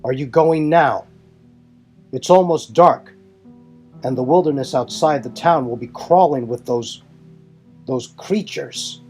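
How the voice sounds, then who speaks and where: A man's voice: mid-range voice, takes it slowly, long pauses, darkish tone, faint music behind, pitched above the performer's own voice. A man voicing a proud, suspicious warrior in a fan audio drama, with a stern, challenging, formal way of talking. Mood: alarmed.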